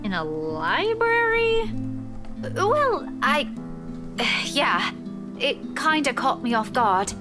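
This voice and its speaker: A woman playing a feisty, guarded young teacher who angers quickly in a visual novel game, with music behind her. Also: sits high, sing-song, full character voice. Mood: guarded, uneasy.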